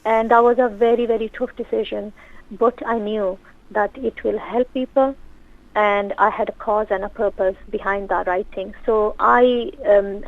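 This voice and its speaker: A woman's voice: medium pitch, gravelly, no room sound. A woman whose first language is Urdu, speaking as a guest on a radio show, earnest and reflective, often restarting her sentences. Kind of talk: personal radio conversation. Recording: tinny phone line.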